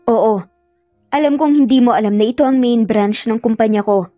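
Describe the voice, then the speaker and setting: An earnest young boss pleads for love with remorse in a romance tale, acted out by a woman. The voice is soft-edged and mellow, with music playing underneath. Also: fairly high pitch, moderate pace, dry recording, muffled recording, close to an everyday voice. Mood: earnest, tender. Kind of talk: dramatic romance storytelling.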